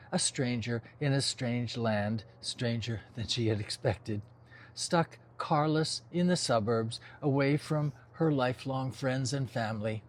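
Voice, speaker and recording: An older man from New Zealand who was raised in Los Angeles, reading his own literary memoir-style novel in a measured, reflective manner with brief informal asides. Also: mid-range voice. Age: over 60.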